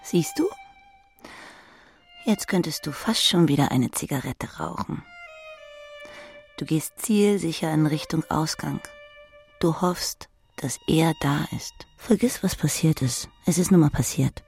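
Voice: mid-range voice, measured pace, creaky, pauses often, a little mellow, faint music behind. A narrator reading literary prose in the second person, speaking intimately to the listener.

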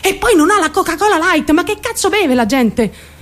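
A woman's voice: very high-pitched, lively intonation, normal speed. An actress playing an obsessive, unstable young woman in a theatrical radio monologue, confiding and blunt, shifting abruptly and seeking agreement. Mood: disdainful.

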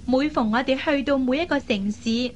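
A woman acting a lively, headstrong young matchmaking sister in a radio drama, with a chatty, coaxing manner. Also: high voice, normal speed, dull audio, a little hiss or hum, close to an everyday voice. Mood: earnest, coaxing. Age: young adult. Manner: coaxing, chatty, expressive with sighs and particles.

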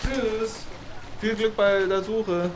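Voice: medium pitch, steady tone, takes it slowly, natural voice. An amateur performer playing a friendly, chatty pool attendant in a children's radio play. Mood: helpful. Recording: distant voice amid loud crowd noise. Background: loud swimming pool crowd.

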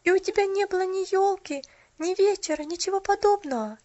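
A woman's voice: extremely high pitch, dry recording, dull audio, voice pushed up. A woman voicing an eager little girl who chatters breathlessly and pleads in a rush. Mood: excited. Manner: breathless, gushing, rapid pleading.

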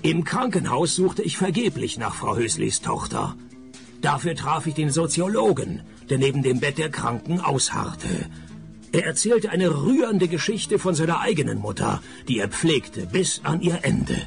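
Creaky, sardonic private eye. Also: male voice, a little high, measured pace, soft background music, exaggerated voice. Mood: wry narration. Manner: deadpan wit. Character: dry, sardonic male private detective narrating his case. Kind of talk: comic radio play narration and dialogue.

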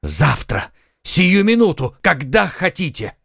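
A man's voice: on the high side, big pitch swings, slow pace, vocal fry, fairly bright tone, husky, no room sound, pitched above the performer's own voice, exaggerated voice. Man doing hot-headed young swordsman, impassioned, exclaiming, dry close recording, audiobook. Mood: eager.

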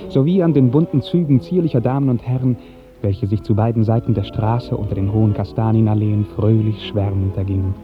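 Low-voiced man narrating, old muffled radio. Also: music in the background. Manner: measured, lyrical storytelling. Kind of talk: literary scripted narration, 1950s German radio drama.

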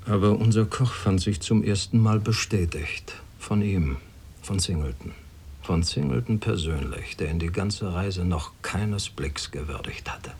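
A wry sailor narrating a radio drama. His voice is low and measured, with literary phrasing. Faint ambient noise runs underneath. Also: darkish tone. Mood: dry. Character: wry sailor recalling life aboard his ship.

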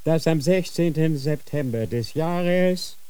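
A man acting a dying old Grand Inquisitor in a radio drama, dictating slowly and solemnly in a feverish state. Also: on the high side, mellow, clean tone, no echo. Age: older. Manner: slow, solemn dictating with sudden feverish outbursts.

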